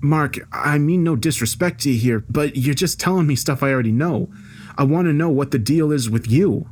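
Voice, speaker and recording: A man voicing an intimidated junior journalist in an audio story, soft-spoken, placating and quietly exasperated. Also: fairly high pitch, normal speed, slight background noise, pitched above the performer's own voice, close to an everyday voice. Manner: hesitant, soft-spoken, pleading. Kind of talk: dramatised audio story dialogue.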